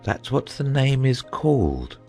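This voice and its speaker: An actor playing a gentle, foolish and fussily earnest knight in a dramatized audiobook. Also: male voice, mid-range voice, sing-song, measured pace, creaky, soft background music. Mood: fussy, vexed.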